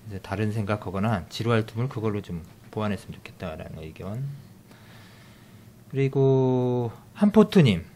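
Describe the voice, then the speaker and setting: A middle-aged man hosting a casual podcast. He talks in a halting way with many fillers and restarts, reading out listener comments and news. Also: on the low side, animated, mellow, slight background noise. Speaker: podcast host.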